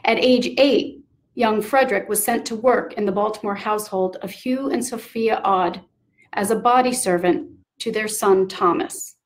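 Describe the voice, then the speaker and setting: A woman presenting scripted historical narration in a formal, deliberate way. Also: medium pitch.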